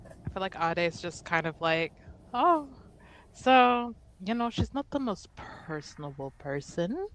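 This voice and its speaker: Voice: medium pitch, sing-song, a little slow, a bit bright, a little hiss or hum, poor audio. A player in a tabletop roleplaying game, improvising casually in and out of character.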